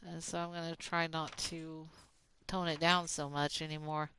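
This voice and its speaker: Bright fast-talking Southern-accented speaker. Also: mid-range voice, flat delivery, slight background noise. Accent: Southern US, Oklahoma. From Oklahoma. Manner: fast-talking, rambling, frequent fillers. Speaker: gaming streamer. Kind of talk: casual chat while playing a game.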